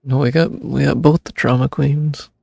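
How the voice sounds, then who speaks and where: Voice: medium pitch, fairly even intonation, normal speed, creaky, mellow, dry recording, clean studio sound. A game streamer giving casual, jokey commentary over gameplay with teasing asides. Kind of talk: casual live gameplay commentary with read-aloud.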